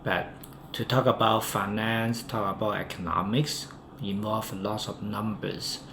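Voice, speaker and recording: A man speaking English with a Hong Kong accent, Cantonese his first language, talking on his own about stocks with many pauses and fillers. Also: on the low side, flat delivery, vocal fry. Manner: unhurried, with frequent um and uh and loud emphatic phrase starts. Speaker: finance YouTuber. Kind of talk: solo monologue to camera. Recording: roomy, with faint background noise.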